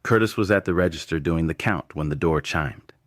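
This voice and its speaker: A man's voice: very deep voice, a little fast. A man narrating a scripted audio story as its storyteller.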